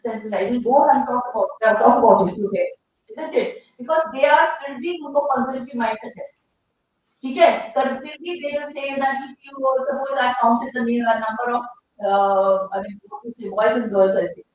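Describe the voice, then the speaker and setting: A middle-aged Indian woman speaks in an animated, hoarse voice. She quizzes her audience as she goes. Her voice reaches the mic from well off, in a large hall full of echo, with a dull, muffled sound. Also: fairly high pitch, moderate pace, slight background noise. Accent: Indian English. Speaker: speaker addressing teachers. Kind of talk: interactive conference talk. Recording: echoey hall from far off, dull.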